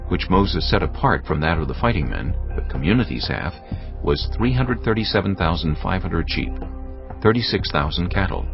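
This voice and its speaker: A man narrating scripture in a solemn, measured, scripted dramatic style. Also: deep voice. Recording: dull audio under prominent music. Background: music.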